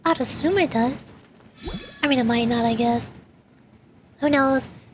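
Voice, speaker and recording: A woman chatting casually and playfully on a gaming livestream, with animated delivery. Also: sits high, a little slow, noisy background. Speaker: VTuber streamer, host. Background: background music.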